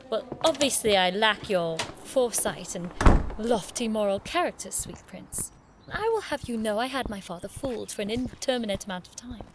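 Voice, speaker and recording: A fiery young noblewoman acted by a woman speaks with arch sarcasm and biting, formal wit at a middling pitch. It is audio drama, with clear ambient noise behind her. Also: lively intonation, normal speed, close to an everyday voice. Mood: bitter, biting. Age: young adult.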